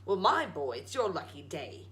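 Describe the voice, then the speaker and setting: A woman's voice: fairly low pitch, big pitch swings, normal speed, a bit bright. A woman voicing a wacky old male tradesman in a storybook, folksy and coaxing like a salesman. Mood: folksy, friendly. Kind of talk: storybook read-aloud.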